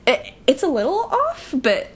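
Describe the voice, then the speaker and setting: A woman's voice: high voice, huge pitch swings, moderate pace, slight background noise. A young woman playing a brash, sarcastic college-age thief in an audio drama, speaking in breezy, slangy banter. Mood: startled, curious. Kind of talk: audio drama dialogue, casual and conversational.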